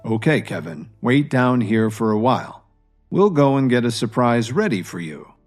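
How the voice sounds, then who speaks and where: Assured, friendly businessman acted by a male narrator, animated delivery, music bed. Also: medium pitch, moderate pace, lightly acted. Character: admiring, authoritative male hotel owner friend. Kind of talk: audio drama character voice.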